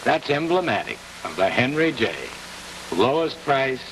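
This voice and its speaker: An American man answering as an executive guest in a 1950s television interview, in earnest, measured short phrases. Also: mid-range voice, big pitch swings. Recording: distant, noisy 1950s television broadcast.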